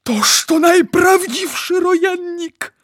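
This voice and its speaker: A woman voicing an excitable, bossy male giant in a storybook, exclaiming theatrically in garbled made-up words. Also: medium pitch, slow, breathy edge, no echo, clean studio sound. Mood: thrilled. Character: excitable male giant dream-catcher, bossy, dramatic, word-mangling.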